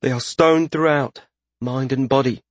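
Earnest, nervy male mage played by a male narrator, raised pitch, precise formal phrasing, fantasy audiobook. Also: steady tone, measured pace, no echo, close to an everyday voice. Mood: worried. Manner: formal, careful wording. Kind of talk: audiobook character dialogue.

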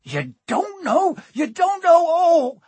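A man voicing a huge, gruff, hot-tempered but good-hearted giant in a booming, growling, folksy way for a storybook. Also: sing-song, takes it slowly, no room sound, full character voice. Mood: furious, disbelieving.

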